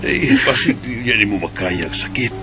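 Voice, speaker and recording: A man playing a gentle, consoling father in a Bisaya radio drama, with a Filipino Bisaya accent. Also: fairly low pitch, measured pace, over music, lightly acted.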